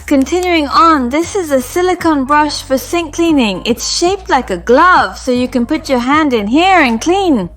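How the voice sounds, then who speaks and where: A woman with a fairly high, slightly hoarse voice walks through household products one feature at a time. She speaks in a calm, methodical way. Soft music plays faintly under her voice. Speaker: home organizing vlogger. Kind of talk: product review vlog.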